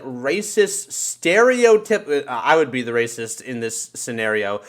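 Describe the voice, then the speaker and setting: Higher-voiced man swearing through casual stories. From United States. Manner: profane, animated, bro slang. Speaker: podcast co-host. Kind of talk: casual podcast chat and storytelling.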